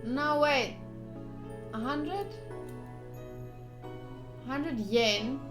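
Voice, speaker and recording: A young woman with a fairly high voice narrates a game playthrough. She reads on-screen text expressively and drops into chatty asides, with game music behind her. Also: lively intonation, very slow. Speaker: game streamer. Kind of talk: playthrough commentary, reading game text aloud.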